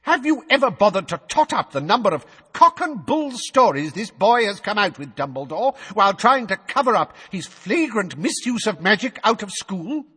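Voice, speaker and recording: A man voicing a blustering, pompous middle-aged official who shouts and sputters, reading with long hall reverb. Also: very animated, moderate pace, few pauses, husky, heavily acted. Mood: scornful. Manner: shouting, sputtering, high and strained. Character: blustering, pompous middle-aged male minister, easily enraged.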